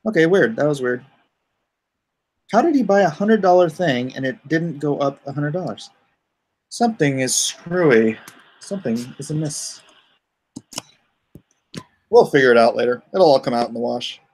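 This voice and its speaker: A man's voice: fairly high pitch, expressive, normal speed, pauses often, a little mellow, poor audio. A man hosting a casual livestream, rambling and easygoing as he thinks aloud. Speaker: livestream host and comic writer.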